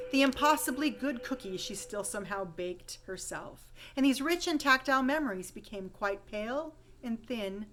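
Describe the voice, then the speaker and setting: A woman reading a rhyming holiday story poem aloud with expressive, dramatic storytelling emphasis. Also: a little high, slight background noise, rough recording. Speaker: poem reader.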